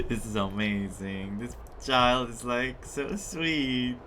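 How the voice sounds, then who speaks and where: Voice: medium pitch, fairly even intonation, takes it slowly, a bit bright, a little hiss or hum. A streamer from Greece giving casual gameplay commentary in a chatty, reactive way with hesitant phrasing. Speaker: gaming streamer. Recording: game music under the voice.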